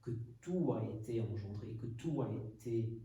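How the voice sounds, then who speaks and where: A man's voice: medium pitch, lively intonation, measured pace, dark tone. A man from Portugal hosting a podcast conversation, thinking aloud and hesitating often. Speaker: podcast host. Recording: echoey room, mic at a distance, faint noise.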